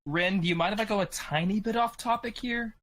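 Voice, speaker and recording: A man's voice: high-pitched, fairly quick, a bit bright. A man chatting casually as a podcast panelist, polite and a bit hesitant.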